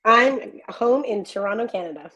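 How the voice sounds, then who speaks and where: Hoarse woman event organizer. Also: a little high, animated, normal speed, fairly bright tone, rough recording. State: hoarse, lost voice. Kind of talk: interview guest on video call.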